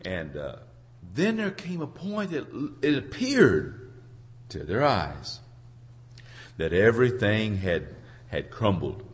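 A hoarse man preaching an informal sermon in a halting, folksy way, searching for his words and clearing his throat. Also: on the low side, very animated. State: hoarse from a cold. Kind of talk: informal sermon with congregation responses.

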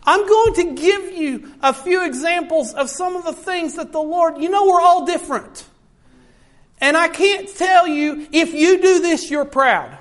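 A man preaching a sermon in a notably high, light voice. He talks earnestly and circles back on his points, often turning to the congregation to address them directly. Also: a little slow, a bit bright. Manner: earnest, repetitive, often addresses listeners as folks.

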